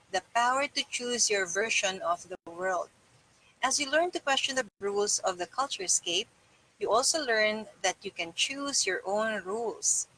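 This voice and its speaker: Bright-voiced woman reading aloud. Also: mid-range voice, slight background noise, rough recording. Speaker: reader. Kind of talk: reading a self-help book aloud. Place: small plain-walled room indoors.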